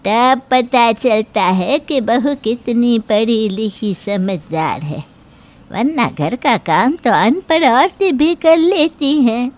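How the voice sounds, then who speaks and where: Woman doing older mother in law from a cartoon story, really slow, firm, lecturing everyone. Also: a little high, animated. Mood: admonishing. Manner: admonishing, measured, lecturing. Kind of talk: Hindi cartoon moral story acting.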